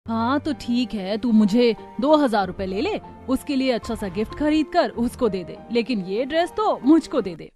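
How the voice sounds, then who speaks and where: Jealous, sulky young elder sister voiced by a woman, high-pitched. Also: expressive, moderate pace, few pauses, warm tone, soft background music, natural voice. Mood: sulky, demanding. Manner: petulant, demanding, bitter. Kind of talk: Hindi cartoon story dubbing.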